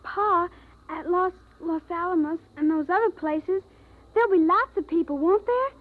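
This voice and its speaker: A woman's voice: very high-pitched, moderate pace. A woman voicing an eager young girl in a 1950s radio drama. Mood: curious. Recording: tinny old radio transcription.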